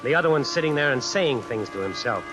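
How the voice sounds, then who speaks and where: A man's voice: medium pitch, expressive, background noise, lightly acted. A man playing a cornered tough guy who narrates his predicament in a colloquial, brooding way in an old radio suspense play. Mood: shaken, brooding. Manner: colloquial, deliberate, brooding inner monologue. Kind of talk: 1940s-50s radio suspense acting, first-person narration. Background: music and rain sounds.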